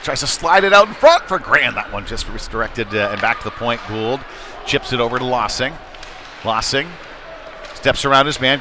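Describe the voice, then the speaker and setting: A man with a medium-pitched voice calls a youth hockey game live. He speaks in short present-tense phrases and slips into conversational asides. Clear venue noise sits behind the commentary. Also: pushed and bright. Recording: noisy rink ambience behind live commentary. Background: sports venue noise.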